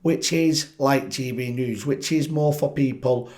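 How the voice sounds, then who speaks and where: A man hosting his own political commentary channel, croaky from a chest infection, talking animatedly and putting questions straight to his viewers. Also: medium pitch, unhurried. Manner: animated, asks viewers directly, frequent restarts. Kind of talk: opinionated solo commentary to camera.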